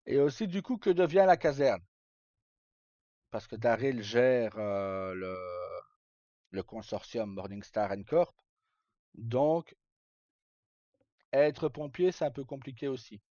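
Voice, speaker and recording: A man's voice: mid-range voice, big pitch swings, takes it slowly, pauses often, mellow. A man chatting casually to live viewers as a streamer, rambling and hesitant in colloquial speech with some swearing. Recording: dull lo-fi mic in echoey room.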